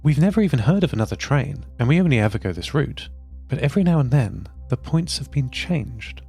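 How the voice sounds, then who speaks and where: A kindly young woman speaking softly, her voice slightly higher than the narrator's yet still gravelly underneath, as a man reads her lines. Faint music plays behind the story. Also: very animated, fairly quick, full character voice. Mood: gentle. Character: young woman, gentle, caring train daughter.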